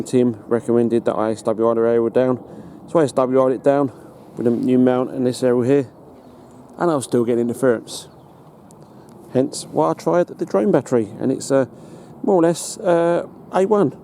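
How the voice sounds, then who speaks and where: A British man giving a casual hands-on demonstration as a hobbyist, in a halting, matter-of-fact way. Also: medium pitch, warm tone. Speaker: hobbyist presenter. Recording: noticeable background noise.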